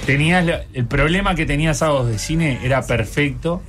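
A man's voice: on the high side, brisk, over music. A man with a Uruguayan accent in casual comic banter as a radio host, telling things in a wry, colloquial way.

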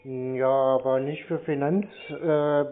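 A man chatting casually on a phone call in a deadpan, dithering, colloquial way. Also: mid-range voice, takes it slowly, clean tone. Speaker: scambaiter playing along with caller. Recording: thin narrowband phone-like sound.

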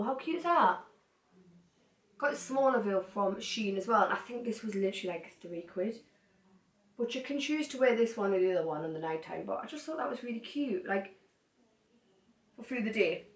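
A young woman sitting on her living room floor, chatting excitedly to a camera set some distance away and rambling off into asides. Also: on the high side. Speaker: vlogger. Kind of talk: casual haul chat to camera. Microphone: far camera. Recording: echoey room, mic at a distance.

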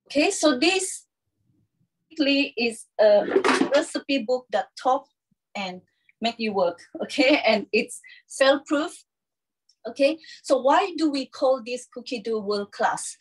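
A woman in her thirties presenting a live cooking demonstration from a home kitchen, in an instructional, halting way with frequent check-ins. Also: fairly high pitch, breathy edge, low-quality recording. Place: small home kitchen, indoors.

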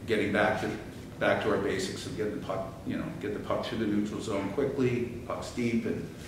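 A middle-aged man, a head coach, answering postgame questions with halting restarts and fillers. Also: on the low side, fairly even intonation. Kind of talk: postgame interview. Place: press room at a table. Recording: echoey room from a distance, background hiss.

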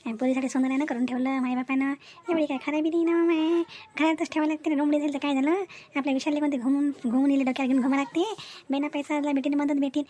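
A woman's voice: high-pitched, steady tone, fast, mellow, natural voice. A woman voicing a greedy, scheming village mother in a comic cartoon, blunt and wheedling, with a Varhadi Marathi accent. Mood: scheming, coaxing.

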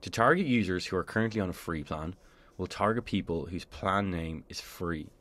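A man with a low voice narrates a scripted software tutorial. He explains each step in a clear, orderly way, as a presenter on a screencast voice-over would. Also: lively intonation, moderate pace.

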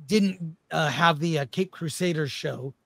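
A man's voice: on the high side, a little slow, creaky, fairly bright tone. A man chatting casually as a panelist on a film discussion show.

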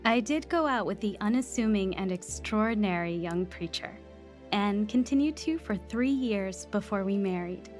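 Devout young woman played by an actress, sincere measured radio drama storyteller, mid pitch, music underneath. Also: lively intonation, lightly acted. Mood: grateful, assured. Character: earnest devout young woman telling her testimony. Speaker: lead actress and first-person narrator. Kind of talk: scripted radio drama narration.

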